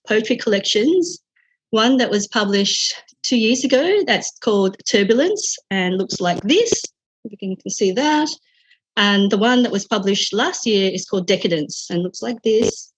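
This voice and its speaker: A woman's voice: mid-range voice, lively intonation, normal speed, husky, poor audio. A woman with an Australian accent, a poet and critic, speaking fluently and conversationally over a video call. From Vietnam, raised in Australia. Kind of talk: casual video call chat.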